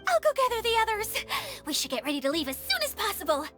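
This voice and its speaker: A woman playing a gentle, dutiful young caretaker in an anime video game, earnest, polite and hesitant. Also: extremely high pitch, very animated, fast talker, heavily acted. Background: game music.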